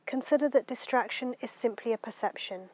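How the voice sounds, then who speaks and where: A woman guiding a meditation in a gentle, unhurried, soothing way, letting her phrases end softly. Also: a little high. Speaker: meditation guide. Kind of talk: guided meditation narration.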